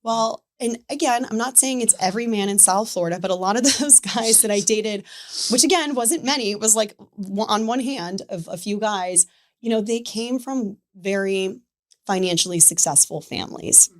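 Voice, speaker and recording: Woman, thirties, animated chatty storyteller, lots of fillers. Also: mid-range voice, moderate pace. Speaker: podcast guest. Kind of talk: casual storytelling conversation.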